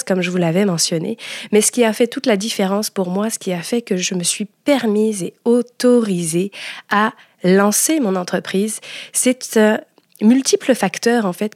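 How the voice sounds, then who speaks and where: A woman hosting a podcast, telling her own story to listeners in an expressive, confiding way. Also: medium pitch, no room sound, clean studio sound. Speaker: podcast host and entrepreneur.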